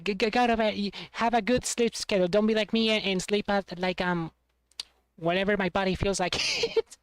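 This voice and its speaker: A woman streamer rambles to her chat with a voice of middle pitch, laughing easily and stumbling over restarts as she talks. She sounds close to the mic in a dry, dead room. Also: lively intonation, moderate pace, no room sound. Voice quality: trained, voice she has been working on. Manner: rambling, self-deprecating, laughs often, many restarts.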